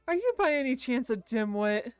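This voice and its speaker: A woman's voice: high voice, big pitch swings, moderate pace, clean tone, no room sound, soft background music. A woman voicing a sly, menacing fox girl from a horror visual novel, teasing and taunting. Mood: mocking, teasing.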